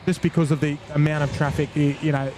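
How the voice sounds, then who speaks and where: A man's voice: a little high, level tone, fast talker. A man hosting a plane-spotting stream, eager and stammering, repeating his words as he talks. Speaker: plane-spotting livestream host. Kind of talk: casual chat. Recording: loud outdoor traffic noise.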